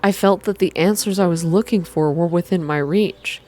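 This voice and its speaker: A woman reading a story aloud in a calm, slow, hushed ASMR style. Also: lowish voice. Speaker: reader of a visual novel for an online channel.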